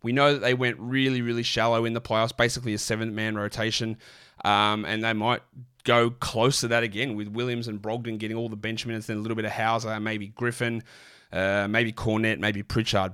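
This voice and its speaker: A man, sick and croaky, hosting a casual basketball podcast with lots of rambling restarts. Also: fairly low pitch, fairly even intonation, brisk, a bit bright. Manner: rambling, chatty, many fillers and restarts. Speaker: fantasy basketball podcast host. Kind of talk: casual solo podcast talk.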